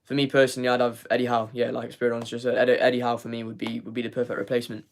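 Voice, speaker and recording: A man's voice: medium pitch, monotone, racing, gravelly. A young man hosting a live football fan review, losing his voice, rambling with repeated words and many fillers. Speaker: fan channel host. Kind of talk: live match review, reading chat.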